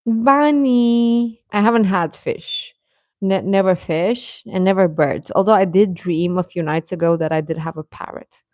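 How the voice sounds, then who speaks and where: A woman hosting a casual livestream chat, answering viewers informally and haltingly, often repeating short phrases. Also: lowish voice, a little mellow, dry recording, studio quality. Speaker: livestream host chatting with viewers.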